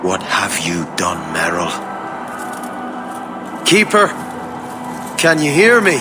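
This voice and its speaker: A man's voice: low voice, huge pitch swings, slow, full character voice. A man playing a grieving elven hunter in a fantasy game, commanding yet emotional. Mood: alarmed. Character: stern, grieving male elven clan hunter. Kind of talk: dramatic video game voice acting. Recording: clear background ambient noise.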